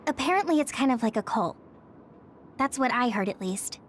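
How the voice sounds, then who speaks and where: High-pitched young woman on a squad voiced by an actress. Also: animated, normal speed, slight background noise, close to an everyday voice. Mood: curious. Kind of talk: English video game dub acting. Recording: music under the voice.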